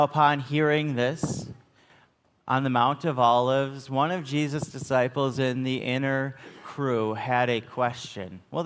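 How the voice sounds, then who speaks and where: A middle-aged American man preaching a sermon as a pastor, phrasing deliberately with emphatic stresses in a church. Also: mid-range voice, fairly bright tone. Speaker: Lutheran pastor. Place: church sanctuary, at the pulpit. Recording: long church reverb.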